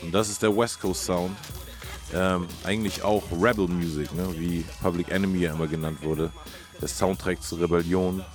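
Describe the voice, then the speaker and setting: A man's voice: deep voice, steady tone, moderate pace. A man hosting and telling music history in a casual, colloquial conversational style. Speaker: host. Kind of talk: casual storytelling about hip-hop history.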